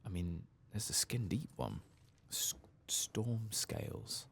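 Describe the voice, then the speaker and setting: Very deep, creaky, soft-spoken man. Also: very animated, slow pace. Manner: casual, muttering to himself, thinking aloud, mild swearing. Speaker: gaming video host. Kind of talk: soft-spoken ASMR playthrough commentary, partly whispered.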